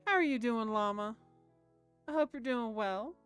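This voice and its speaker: A woman's voice: on the high side, animated, moderate pace, fairly bright tone, clean tone. A woman streaming a visual novel, chatting informally with viewers and reading text aloud, mildly sweary. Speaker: game streamer. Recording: music playing behind the voice. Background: background music.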